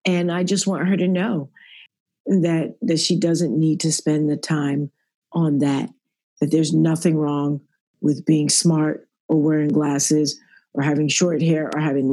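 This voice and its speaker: A middle-aged woman with a deep, raspy voice, a podcast guest speaking earnestly about her faith and growing up. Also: mellow, dry recording. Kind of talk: reflective podcast interview. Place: at work.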